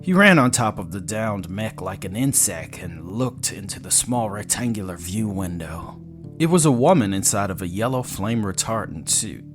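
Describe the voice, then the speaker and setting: A man's voice: fairly low pitch, expressive, normal speed. A man reading scripted, dramatic science fiction narration as a storyteller, with music under his voice.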